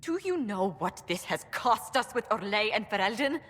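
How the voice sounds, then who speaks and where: An actress playing an indignant, principled diplomat in a fantasy game, speaking formally and pointedly. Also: female voice, mid-range voice, animated, normal speed, fairly bright tone. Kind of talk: dramatic fantasy video game acting. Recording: long hall reverb.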